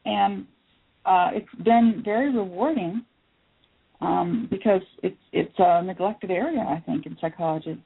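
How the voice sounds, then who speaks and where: Husky woman on a phone line. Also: medium pitch, a little slow. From Taiwan. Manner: thoughtful, hesitant with many fillers. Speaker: psychologist guest. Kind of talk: interview answers.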